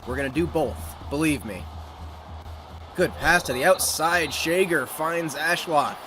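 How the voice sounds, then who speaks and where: A man's voice: on the high side, measured pace, a bit bright, poor audio. A young American man chatting casually with his live-stream audience while calling plays in a football video game. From United States. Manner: chatty, upbeat, greets chat and calls plays. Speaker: gaming streamer. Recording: game music playing behind voice. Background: video game music.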